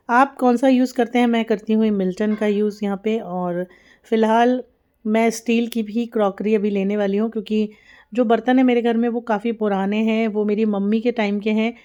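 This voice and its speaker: A woman's voice: mid-range voice, dark tone. A young woman chatting casually to viewers while cooking in her home kitchen, explaining each step as she goes. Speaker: vlogger. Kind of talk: casual cooking vlog chat.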